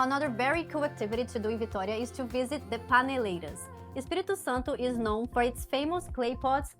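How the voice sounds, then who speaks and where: A friendly woman narrates travel tips in a fairly high voice. Soft music runs quietly behind her. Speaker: travel vlog co-host.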